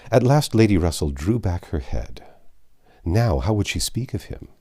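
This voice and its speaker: Low-pitched, mellow man narrating a classic novel. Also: big pitch swings, moderate pace. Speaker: audiobook narrator.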